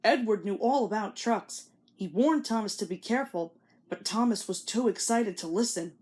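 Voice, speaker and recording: A woman's voice: mid-range voice, moderate pace, a little mellow. A woman giving a scripted read-along narration of a children's story.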